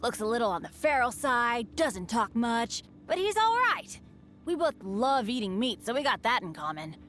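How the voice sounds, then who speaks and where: A woman's voice: on the high side, big pitch swings, normal speed, fairly bright tone, slight background noise. A woman voicing a cheerful, unlucky teenage boy adventurer in a video game, chatty, earnest and self-deprecating. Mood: friendly, chatty. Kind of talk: video game character voice lines.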